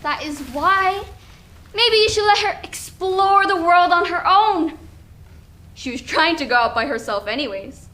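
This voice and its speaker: Casting: young actress as a hidden other self, high voice, unhurried, sly and persuasive; student stage play. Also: female voice, animated, pushed and bright, exaggerated voice. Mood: coaxing.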